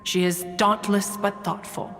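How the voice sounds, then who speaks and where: A woman's voice: mid-range voice, steady tone, unhurried, a bit bright, natural voice. Woman playing ancient female immortal, austere, proud, archaic formal diction, theatrical game storytelling, background music. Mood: reserved, stately. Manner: formal, archaic, refers to herself as "one".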